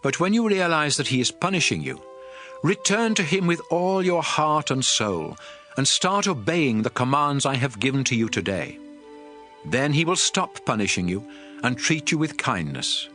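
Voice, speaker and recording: A man's voice: mid-range voice, big pitch swings, moderate pace, fairly bright tone. An actor playing a solemn Hebrew prophet addressing his nation in earnest oratory for a dramatized Bible. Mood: earnest, exhorting. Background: music.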